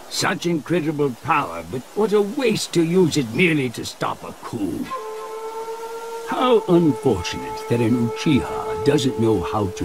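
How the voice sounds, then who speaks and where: An actor playing a ruthless, scheming old ninja elder, measured and menacing, in an anime game dub. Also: male voice, mid-range voice, poor audio. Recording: music behind the voice.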